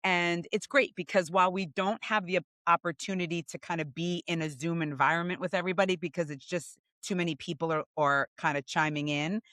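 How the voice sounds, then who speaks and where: A woman's voice: on the low side, steady tone, brisk, few pauses, fairly bright tone, no echo, clean studio sound. Bubbly woman podcast co-host. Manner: upbeat, bubbly, playful. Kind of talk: casual podcast chat.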